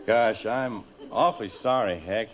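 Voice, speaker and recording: An American man acting an excitable, self-assured suburban father in a 1950s radio comedy. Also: fairly low pitch, measured pace, a loud crowd, natural voice. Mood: confident. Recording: muffled, noisy old-time radio recording.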